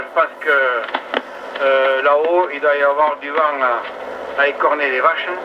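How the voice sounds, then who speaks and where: A chatty, joking man, talking casually over a two-way amateur radio. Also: a little high. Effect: two-way radio transmission. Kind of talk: casual radio chat with sign-offs. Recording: dull, noisy ham radio relay, distant.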